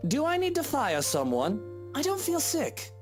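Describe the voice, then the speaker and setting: A man voicing a snobbish but doting rich husband in a visual novel, with a haughty, expressive manner. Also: very high voice, moderate pace, over music, pitched above the performer's own voice, exaggerated voice. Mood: concerned.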